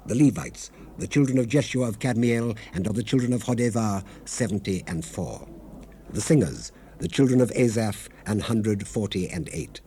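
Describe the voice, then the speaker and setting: Man playing solemn devout old testament governor, very mellow voice, measured scripture reading, hissy 70s cassette. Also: fairly low pitch, close to an everyday voice. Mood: solemn, formal. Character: Nehemiah, solemn devout male biblical governor of Jerusalem. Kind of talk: dramatized scripture reading in formal archaic English. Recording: hissy unprocessed 1970s cassette rip.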